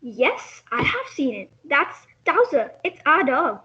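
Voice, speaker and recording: A woman performs a prim, polite neighbour in an amateur stage reading. The recited lines come out extremely high and bright, on a slightly muffled recording. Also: big pitch swings, takes it slowly, exaggerated voice. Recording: dull, muffled sound.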